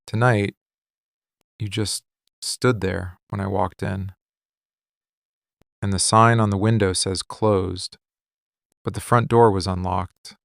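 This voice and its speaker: A man playing a possessive, menacing mafia boss in a boyfriend ASMR roleplay, speaking in a controlled, deliberate, quietly commanding way. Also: low voice, steady tone, vocal fry, dry recording, clean studio sound, full character voice. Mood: suspicious, probing. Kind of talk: boyfriend ASMR roleplay, scripted dramatic monologue.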